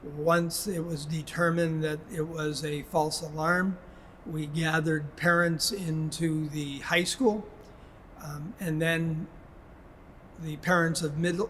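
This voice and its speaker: A man speaking as a school official in a formal public address, in a measured, halting way. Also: fairly high pitch, fairly even intonation, fairly bright tone, slight background noise. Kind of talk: public address, prepared statement spoken haltingly.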